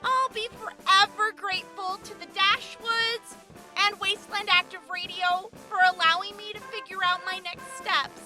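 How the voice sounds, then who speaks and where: A woman voicing a cheerful, brash wasteland doctor who speaks loudly and exuberantly, with laughter and a sing-song lilt. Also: very high-pitched, steady tone, normal speed, faint music behind, full character voice. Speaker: radio show doctor. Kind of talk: in-character audio drama farewell.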